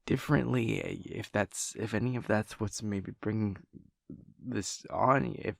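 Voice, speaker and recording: A gentle vampire lover played by a man speaks slowly and close to the listener in an intimate audio roleplay. His voice is low and breathy, often near a whisper in a very dry recording. He is earnest and hesitant, stumbling softly over his words. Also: no room sound, full character voice. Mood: flustered, anxious.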